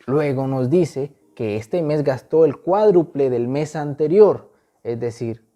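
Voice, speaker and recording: A man's voice: mid-range voice, animated, slow pace, dark tone. A man teaching a math lesson, explaining step by step, patiently repeating key points and stressing important words.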